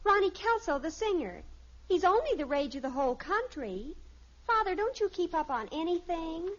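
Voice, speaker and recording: Gushing, very high-voiced teenage girl. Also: big pitch swings, normal speed, fairly bright tone, heavily acted. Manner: breathless enthusiasm, dramatic. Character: gushing, starstruck teenage daughter. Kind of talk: 1950s radio sitcom acting. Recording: dull old radio recording.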